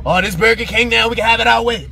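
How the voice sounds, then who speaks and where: Man putting on a high, sharp prank customer. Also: fast, vocal fry, heavily acted. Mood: brash. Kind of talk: improvised prank ordering. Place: inside a parked car at a drive-thru. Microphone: handheld phone. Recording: faint noise, poor phone recording.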